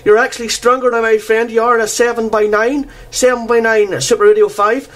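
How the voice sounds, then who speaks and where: A high-voiced man with a Northern Irish accent works a two-way radio contact. He speaks courteously and deliberately, repeating key phrases and using formal call-sign procedure as he goes. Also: little pitch movement, fairly bright tone. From Northern Ireland. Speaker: amateur radio operator. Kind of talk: radio contact exchange with call signs and phonetic spelling.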